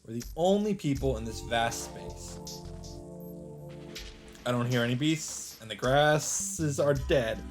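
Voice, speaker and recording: A man reads a visual novel aloud for a let's play. His voice sits at an average male pitch, with game music playing softly behind it. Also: expressive, slow pace, pauses often. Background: game background music.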